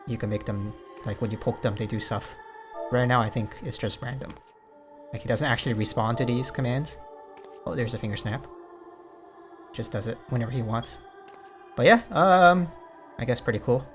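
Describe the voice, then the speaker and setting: A man giving casual, rambling let's play commentary in an understated way, thinking aloud as he goes. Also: medium pitch. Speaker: gaming video host. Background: music behind the voice.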